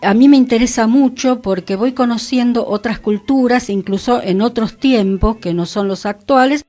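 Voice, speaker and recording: A woman's voice: on the high side, moderate pace, dark tone. A woman with a Buenos Aires accent chatting on a radio culture show, explaining her views with lists of examples. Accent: Buenos Aires Argentine. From Argentina. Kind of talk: conversational radio discussion about culture.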